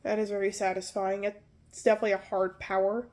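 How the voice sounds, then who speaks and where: A woman's voice: medium pitch, flat delivery, fairly quick, darkish tone. A young woman with a nasal voice from pollen allergies, casually walking through old computer hardware and often correcting herself as she rambles. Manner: rambling, self-correcting, apologetic. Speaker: tech video host.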